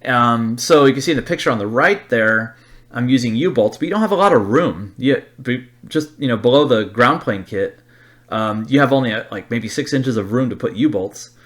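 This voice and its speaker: A man's voice: mid-range voice, expressive, moderate pace, fairly bright tone. A man giving an informal slide-show review off the cuff, blunt and plain-spoken, rambling and repeating words as he thinks aloud. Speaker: presenter of an antenna review.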